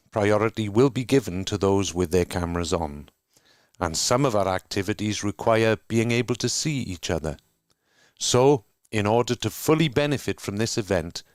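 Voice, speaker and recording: A man from Wales with a Welsh accent, co-hosting an online discussion and reading prepared remarks in a measured, earnest way over a video call. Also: lowish voice, clear, resonant tone, dry recording, clean studio sound. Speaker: co-host. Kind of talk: scripted welcome and reading.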